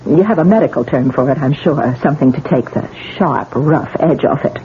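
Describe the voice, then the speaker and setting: A defensive young wife on tinny radio. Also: female voice, low voice, lively intonation, brisk. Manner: tense, sharp, pushes back. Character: newlywed wife, defensive, insisting she is sane. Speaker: Mrs. Renard, patient in hospital. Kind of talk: 1970s radio drama acting. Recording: tinny lo-fi 1970s radio broadcast with hiss.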